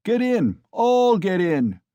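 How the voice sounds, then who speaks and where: A man voicing a drunken, brutal young male peasant who bellows his lines, raised high and loud. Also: big pitch swings, slow pace, clear, resonant tone, dry recording, lo-fi sound, full character voice. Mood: bellowing drunk.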